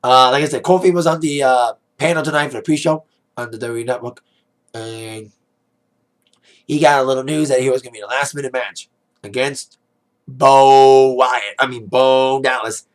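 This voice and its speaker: Raspy hoarse guy rambling thru a show review, lots of likes n uhs, voice kinda shot. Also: medium pitch, fairly even intonation, normal speed. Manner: rambling, heavy on "like", "uh" and "you know". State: hoarse, voice fading after a DJ gig. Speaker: wrestling pay-per-view reviewer. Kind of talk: casual spoken review.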